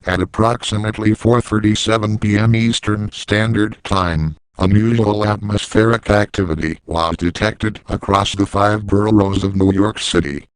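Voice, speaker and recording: A man playing a calm, stern 1980s civil defense announcer, reading a formal emergency message in a measured, deadpan, official manner. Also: lowish voice, clean tone, dry recording, lightly acted, low-quality recording. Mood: grave, controlled.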